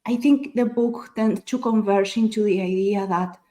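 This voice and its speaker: A woman's voice: medium pitch, normal speed, mellow. A woman whose first language is Spanish, talking casually as a panelist in a book discussion, explaining her thoughts in a considered way.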